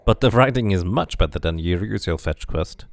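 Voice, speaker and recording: Low, creaky-voiced man streaming a game. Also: lively intonation, normal speed, no room sound. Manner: muses aloud while playing. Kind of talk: casual playthrough commentary and read-aloud narration.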